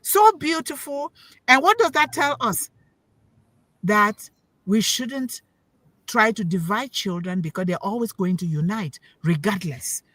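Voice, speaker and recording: A woman's voice: medium pitch, lively intonation, unhurried, fairly bright tone, no room sound, low-quality recording. A woman speaking on a talk show panel as a parent, giving an emphatic, preachy talk that repeats key words for stress and puts questions to her listeners. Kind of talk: motivational talk.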